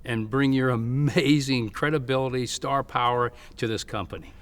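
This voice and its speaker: A man's voice: medium pitch, moderate pace, long reverb tail, a little hiss or hum. A man interviewing a guest in a chatty, casual way, with frequent fillers and agreement sounds. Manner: chatty, laughs often, many fillers.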